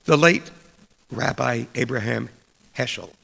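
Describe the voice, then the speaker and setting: A man reads a scripted sermon aloud as a preacher, in a deliberate, measured way, pausing between short phrases in a large church. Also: medium pitch, creaky, fairly bright tone. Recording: long church reverb.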